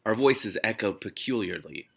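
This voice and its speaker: A man reading a novel aloud expressively as host, animated and given to breaking off with excited reactions. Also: lowish voice, normal speed, gravelly, husky. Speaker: host of a read-aloud book series.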